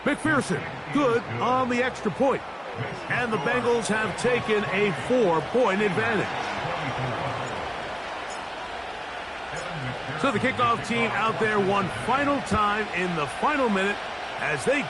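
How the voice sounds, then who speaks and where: A man's voice: high-pitched, lively intonation, moderate pace. A man with an American accent voicing a football play-by-play announcer, calling the action over the game's noise. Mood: excited. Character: male TV football play-by-play announcer, brisk and excitable. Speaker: play-by-play commentator. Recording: loud crowd and game noise behind.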